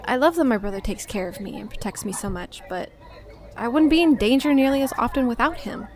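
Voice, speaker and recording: A woman's voice: a little high, fairly quick, natural voice. A woman playing an earnest, overshadowed young girl in an audio drama, speaking hesitantly and sincerely. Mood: wistful. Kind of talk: heartfelt stage dialogue in audio drama.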